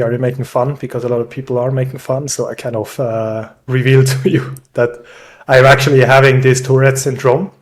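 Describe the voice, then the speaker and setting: A man's voice: medium pitch, a little mellow. A young Swiss man, a podcast guest, talking in a chatty, self-deprecating way and laughing often over a video call. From Switzerland. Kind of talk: casual conversational interview.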